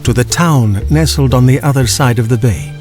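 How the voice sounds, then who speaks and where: A man's voice: medium pitch, moderate pace, over music. A man reading a children's storybook as a scripted audiobook narrator.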